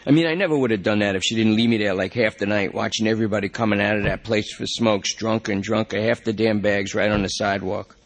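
A man's voice: fairly low pitch, fairly even intonation, fast talker, hardly any pauses. A man voicing a jittery, rambling male junkie under arrest, whiny and pleading.

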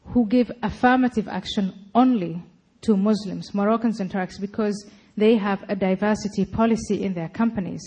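Husky lady giving a talk, pushy and firm about it. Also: mid-range voice, normal speed, hardly any pauses, poor audio. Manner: forceful, argumentative. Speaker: speaker at a public talk. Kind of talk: lecture and debate answering.

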